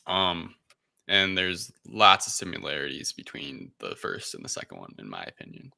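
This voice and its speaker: The deep-voiced guy at the head of a movie podcast, feeling his way through a recap in a sharp, forward tone. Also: wildly swooping pitch, normal speed, gravelly. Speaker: podcast host. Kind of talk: casual film review discussion.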